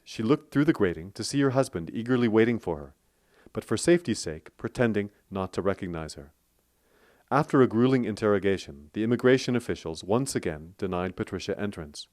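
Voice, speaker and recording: A man narrating a scripted radio documentary in a steady, clear storytelling manner. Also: lowish voice.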